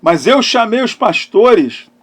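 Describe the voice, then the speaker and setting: A middle-aged man speaks as a pastor in a notably high-pitched voice. He preaches through personal testimony, placing phrases emphatically with dramatic pauses, moving between loud stress and hushed delivery. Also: sing-song, husky. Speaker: pastor and therapist hosting a live talk.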